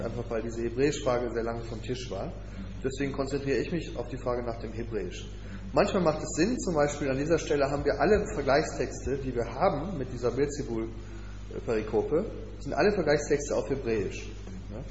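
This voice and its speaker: Academic answering questions, calm and explanatory, reverberant muffled room recording. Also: male voice, mid-range voice. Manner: measured, explanatory. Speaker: lecturer presenting his research. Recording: echoey hall, muffled, noisy.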